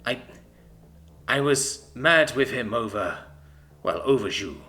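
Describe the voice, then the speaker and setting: A man reading a story, voicing an awkward young man who speaks quietly and haltingly. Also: medium pitch, lively intonation, full character voice.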